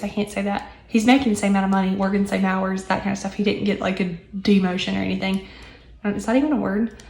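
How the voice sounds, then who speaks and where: Young woman, lilt, hoarse, rambling and chatty; roomy echo. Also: mid-range voice, a little mellow. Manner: chatty with many ums and asides. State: hoarse, recovering lost voice. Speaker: budgeting vlogger. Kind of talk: casual chat while working on paper.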